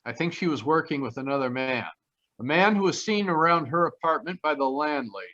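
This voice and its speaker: Man acting a bright, wry radio private eye. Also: a little high, animated, a little fast. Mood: assured, deducing. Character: confident male private detective, brisk and wry. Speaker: actor. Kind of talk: old-time radio detective acting. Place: live online video call. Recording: slightly dull video-call audio.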